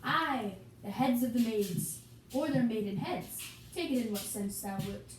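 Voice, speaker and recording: A young woman performs archaic stage dialogue in an amateur, theatrical way. Her voice sits fairly high and moves at a slow pace. It sounds like a homemade puppet show recorded a little away from the mic. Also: expressive. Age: young adult. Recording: echoey room, distant mic, hissy noise.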